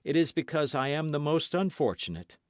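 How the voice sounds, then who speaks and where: A man voicing an earnest young male musketeer with a lively, raised voice. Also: fairly high pitch, normal speed, dry recording, clean studio sound, voice pushed up.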